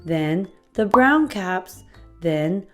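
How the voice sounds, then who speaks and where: A woman narrating a children's picture book aloud, expressively, with deliberate emphasis on repeated phrases. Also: lowish voice, expressive, fairly bright tone, soft background music. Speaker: host reading children's books aloud.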